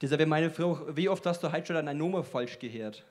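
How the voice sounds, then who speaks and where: A man's voice: fairly high pitch, fairly quick, darkish tone. A man with a Franconian German accent hosting a light-hearted interview on stage. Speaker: event host interviewing speakers on stage.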